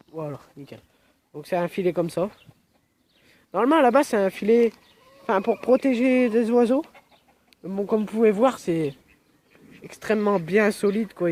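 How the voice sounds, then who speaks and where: A man's voice: high-pitched, darkish tone, a little hiss or hum. A young man with a cold, rambling with lots of fillers as he films his yard outdoors on a phone. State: has a cold. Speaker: vlogger. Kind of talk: casual outdoor vlog. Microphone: phone camera.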